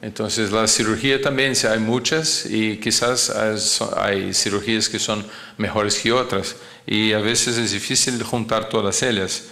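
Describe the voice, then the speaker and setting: A man who is not a native Spanish speaker gives a medical lecture, often halting as he searches for words. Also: on the low side, steady tone, vocal fry, bright, forceful tone. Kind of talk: conference lecture.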